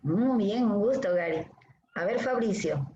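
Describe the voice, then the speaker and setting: A woman from Guayaquil, a lecturer briskly calling the roll in an online class over a video call. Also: medium pitch, lively intonation, warm tone. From Guayaquil, Ecuador. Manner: brisk, friendly, calling names. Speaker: dermatology lecturer. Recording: echoey dull video-call audio with faint hiss.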